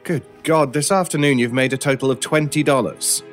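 An actor playing a cool, teasing, mysterious British stranger in a horror radio drama, with a measured, theatrical and wry way of talking. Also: male voice, mid-range voice, soft background music, natural voice. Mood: amused, coaxing. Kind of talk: old time radio style horror audio drama.

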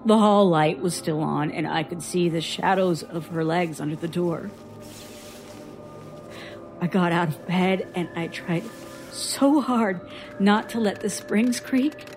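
A woman playing a haunted patient who haltingly recounts frightening childhood memories in an audio drama. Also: fairly low pitch, faint music behind. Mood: haunted, tense. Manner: slow, halting, hushed suspense.

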